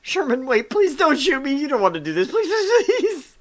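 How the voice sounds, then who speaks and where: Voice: high voice, huge pitch swings, moderate pace, pitched above the performer's own voice. A performer voicing a nervous, cheeky young male army recruit in a video game, speaking in formal soldier phrases. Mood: pleading.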